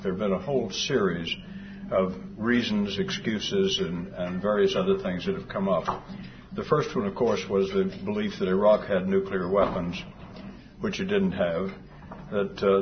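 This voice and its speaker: An older man from Texas giving an after-lunch talk, soft-voiced, with dry humor and many pauses. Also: deep voice. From Fort Worth, Texas. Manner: dry humor, hesitant with fillers. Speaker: guest speaker. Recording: dull lo-fi sound with clear background noise.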